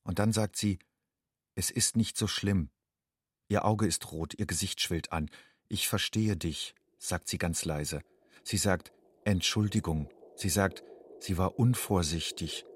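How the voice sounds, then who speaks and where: Haunted secretive young migrant guy, radio play monologue, husky and dry, terse inward phrases. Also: low-pitched, a little slow, dry recording. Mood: haunted, restrained. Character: haunted, secretive young undocumented man addressing himself as you. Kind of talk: literary second-person monologue in a radio play.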